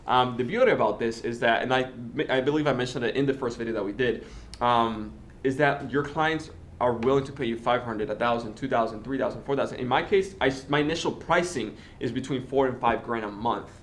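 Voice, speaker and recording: A man's voice: medium pitch, slight background noise. Young Dominican man, Spanish native. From Dominican Republic. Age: 20s. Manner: fast, filler-heavy talk with many restarts. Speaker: host. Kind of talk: casual interview and tutorial talk.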